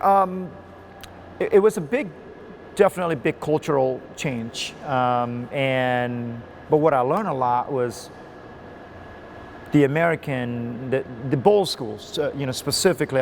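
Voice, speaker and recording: A man's voice: mid-range voice, moderate pace, slight background noise. A man from Bali who coaches college swimming, chatting in a rambling way with many fillers and restarts. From Indonesia (Bali). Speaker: swim coach. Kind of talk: casual interview.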